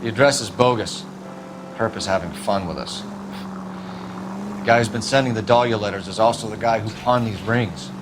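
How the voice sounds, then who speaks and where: A man's voice: lowish voice, moderate pace, pauses often, background noise, lightly acted. An American man playing an earnest, methodical police detective in a video game, speaking formally and inquisitively. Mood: earnest, insistent.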